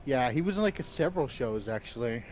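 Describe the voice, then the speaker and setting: Casual male friend, jokey and teasing, average pitch, noisy line. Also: animated, brisk, a little mellow, lo-fi sound. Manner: teasing, joking. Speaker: friend on the stream. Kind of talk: casual chat.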